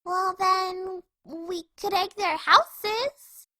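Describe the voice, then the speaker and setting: A woman voicing an excitable, bubbly cartoon pony mare with a gushing, bouncy way of talking. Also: extremely high pitch, drawn-out words, exaggerated voice. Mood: thoughtful, let down.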